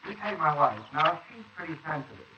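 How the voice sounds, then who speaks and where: A man's voice: on the high side, very animated, fairly quick, dull audio. A man as a rambling chatty witness. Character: rambling, talkative middle-aged neighbor witness. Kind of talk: 1940s radio acting. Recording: distant roomy hissy 1940s wire recording.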